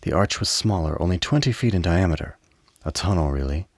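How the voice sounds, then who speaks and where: A man with a low voice narrates a radio drama. His reading is measured and literary, recorded close and dry. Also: animated, slightly rough, dry recording. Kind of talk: scripted radio play narration.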